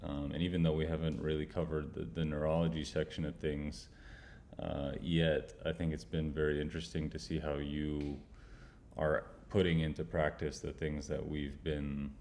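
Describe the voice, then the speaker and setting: A young man hosting an interview, asking questions in a halting way with many fillers. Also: extremely low pitch, flat delivery, darkish tone, slight background noise. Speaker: medical student host. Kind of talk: interview host.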